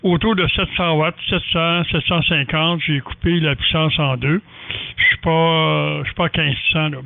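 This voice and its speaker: A man's voice: fairly high pitch, steady tone, moderate pace, creaky, no echo, phone-quality sound. Quebec man, thin dry radio sound. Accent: Quebec French. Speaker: amateur radio operator. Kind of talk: casual radio chat.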